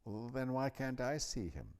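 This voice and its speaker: Storybook schoolboy, read by a man: much higher pitch, grumpy, excitable, blustery, sceptical. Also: normal speed. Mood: exasperated, doubtful. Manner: blustery, exasperated, blurting.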